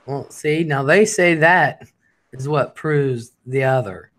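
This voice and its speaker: A man's voice: medium pitch, slow pace, fairly bright tone. A man hosting a live call-in show, teasing and flirting with callers and laughing often. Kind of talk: casual flirty call-in chat.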